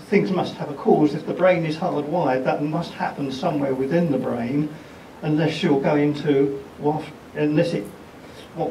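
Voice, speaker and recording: A man's voice: a little high, a little mellow, a little hiss or hum. A man in the audience asking a pointed, insistent question. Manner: challenging, insistent. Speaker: audience member. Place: lecture theatre audience seats. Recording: echoey hall, far from the mic.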